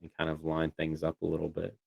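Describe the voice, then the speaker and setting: Deep voiced guy, clean and smooth, rambling casual stream chat, really dry close sound. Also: monotone, fast talker, dry recording, lo-fi sound. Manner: rambling, hedging with many "you know"s. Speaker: co-host of a voice tech show.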